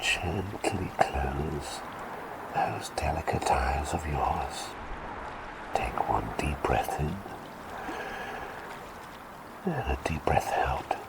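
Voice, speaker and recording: Gentle quirky bird doctor played by a man, whispered, gravelly, rambling, reassuring, ASMR roleplay. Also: very deep voice, lively intonation, exaggerated voice, low-quality recording. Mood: soothing. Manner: rambling, halting storytelling; soft, soothing and reassuring. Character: kindly talking bird plague doctor, male, quirky and gentle.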